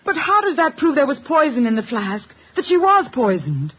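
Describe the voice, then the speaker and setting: A woman's voice: sits high, wildly swooping pitch, moderate pace. An actress playing an anxious, defensive married woman in 1950s radio drama style, pleading, agitated and faltering. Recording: dull, noisy 1950 radio recording.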